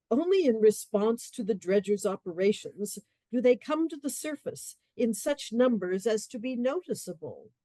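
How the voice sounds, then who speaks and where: A woman narrating a radio play in a measured, literary storytelling manner. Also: mid-range voice, dark tone, no echo.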